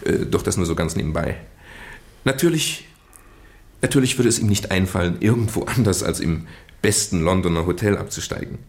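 A man acting in a German radio drama as a British gentleman nephew, earnestly and haltingly confessing a well-meant deception in genteel, roundabout phrases. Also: low-pitched, lively intonation. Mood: earnest, reminiscing. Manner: hesitant, roundabout, genteel storytelling with stammered repeats.

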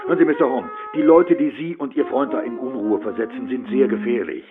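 An actor playing a curt, dismissive police inspector in a radio play. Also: male voice, mid-range voice, brisk, narrow, tinny audio, loud music behind the voice, natural voice. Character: terse police inspector, middle-aged man.

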